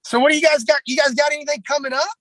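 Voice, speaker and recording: A man with a raspy voice, a pro wrestler talking bluntly and at length in a casual podcast chat, heavy on fillers. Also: very high-pitched, steady tone, rapid-fire, fairly bright tone, no room sound.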